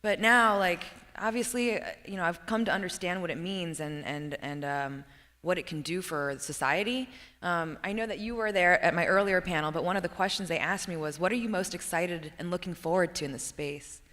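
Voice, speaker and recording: A woman's voice: fairly low pitch, fairly quick, a bit bright. A woman from the United States, a conference panelist, talking about her background in a casual, chatty way. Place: large conference hall. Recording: long hall reverb.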